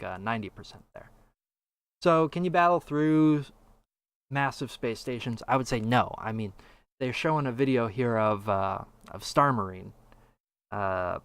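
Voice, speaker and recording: A man's voice: mid-range voice, fairly bright tone, clean tone. A man co-hosting a casual podcast, reacting to a game trailer with sarcastic disbelief. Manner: sarcastic, incredulous. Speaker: podcast co-host. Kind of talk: casual chat reacting to a video.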